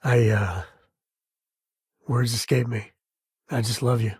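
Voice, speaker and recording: A very raspy, dry-recorded middle-aged man of the space frontier. He is a devoted father and easygoing explorer who talks in a folksy, wry way, naturally and without fuss. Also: medium pitch, fairly even intonation, slow, a little mellow, dry recording. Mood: tender. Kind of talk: video game voice acting, naturalistic dialogue.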